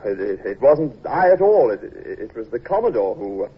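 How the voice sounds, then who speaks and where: Flustered, stammering young naval captain. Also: male voice, mid-range voice, big pitch swings, normal speed, breathy edge. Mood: flustered, bashful. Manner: stammering, hesitant. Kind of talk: old-time radio drama acting. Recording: muffled, distant old radio recording.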